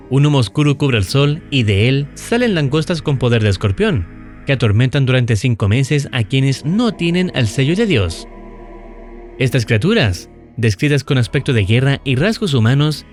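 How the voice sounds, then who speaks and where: Animated male narrator over music. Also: medium pitch. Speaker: narrator of video recap and analysis. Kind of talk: scripted narration. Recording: music under the voice. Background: background music.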